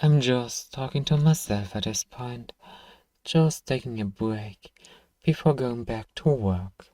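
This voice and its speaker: Male actor as sardonic fantasy shopkeeper, exasperated and stern, low-lit soft timbre, very dry close sound, for ASMR roleplay. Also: slow pace, dry recording, lightly acted. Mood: weary, muttering. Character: irritable, sarcastic, exasperated fantasy apothecary shopkeeper, grudgingly caring.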